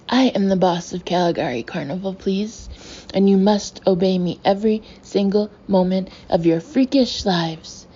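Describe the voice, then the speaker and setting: A woman's voice: medium pitch, moderate pace, mellow, breathy edge, lightly acted. A woman voicing a bossy fake fortune teller with an odd put-on accent who snarls orders and says please constantly. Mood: furious. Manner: snarls orders, tacks "please" onto everything. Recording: dull lo-fi with faint hiss.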